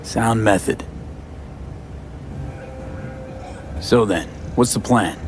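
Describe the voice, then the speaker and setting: A tough, skeptical mercenary man voiced by an actor with a lowish voice. He speaks in dry, clipped game dialogue, with faint ambient noise in the background. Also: a little slow, close to an everyday voice. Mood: dry, casual.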